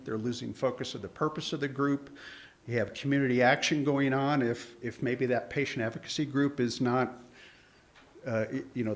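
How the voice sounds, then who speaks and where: A man giving rambling public comment at a community town hall, with frequent restarts and fillers. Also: medium pitch.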